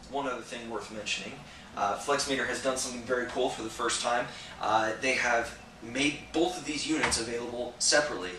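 A young man giving a casual, relaxed product review to camera for a store, filling pauses with lots of "uh" and "um". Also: mid-range voice, slight background noise. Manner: relaxed, conversational, many "uh" and "um" fillers. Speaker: gear reviewer for a sports protection store. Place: indoor shop room with slat wall. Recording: echoey room, voice a bit distant.

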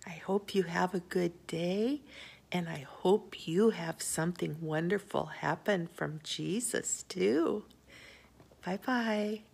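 An older woman gently telling children a personal faith story indoors, in a homely storytelling way with small pauses. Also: lowish voice, vocal fry, breathy edge. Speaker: Sunday school teacher.

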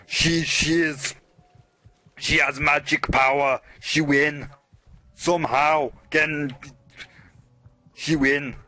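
A man's voice: a little high, fairly even intonation, bright, forceful tone, heavily acted. Man putting on a panicky broken-speech super mutant. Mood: panicked. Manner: halting broken English, slow.

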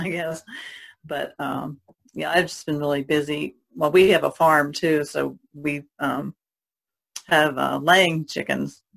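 A woman's voice: sits low, vocal fry. A woman guest chatting casually on a podcast, hesitant and often trailing off. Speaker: artist guest.

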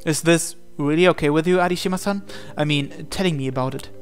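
A man reading a visual novel aloud as expressive narration, in a measured, dramatic way. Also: on the high side, smooth. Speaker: gaming channel host reading aloud. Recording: voice over game music. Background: game music.

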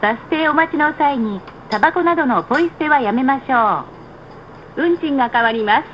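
A woman reading scripted stop announcements on a bus in a measured, formal, polite manner. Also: high voice, expressive. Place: played over speakers inside a moving bus. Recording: muffled, noisy recording inside a bus. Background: bus engine and road noise.

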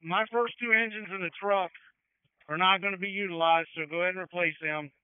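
High-voiced fire commander on tinny radio. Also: male voice, fairly even intonation, a little fast, clean tone. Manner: directive, procedural, terse. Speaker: battalion chief, incident commander. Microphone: two-way emergency radio. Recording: tinny, narrow-band fire radio transmission.

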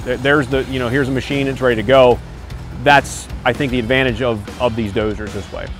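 American man, mid-pitched, over music. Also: steady tone, brisk. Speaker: construction company owner. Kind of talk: casual promotional interview. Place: outdoors on a construction site. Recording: music bed under the voice. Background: background music.

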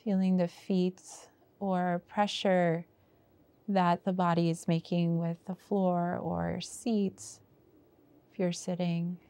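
A woman leads a guided meditation at a very slow pace. Her voice is mellow and relaxed, with a creaky fry in it. She gives gentle prompts and leaves long pauses between phrases. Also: fairly low pitch. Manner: slow, pausing, unhurried delivery with gentle prompts. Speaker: meditation teacher.

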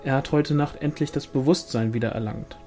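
A man's voice: mid-range voice, fairly quick, warm tone, soft background music, natural voice. A man voicing a defensive young village widow in an audiobook, brusque and guarded.

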